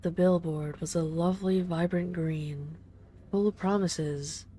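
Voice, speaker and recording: A woman acts out an immortal vampire lady in an intimate audio drama. Her voice is low and velvety dark, soft and never rushed. She is tender and wistful, with the gentle condescension of someone used to giving orders. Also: steady tone, a little hiss or hum, lightly acted. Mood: wistful, reflective. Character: ageless female vampire, boss's daughter, tender, wistful and commanding. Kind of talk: intimate scripted audio roleplay.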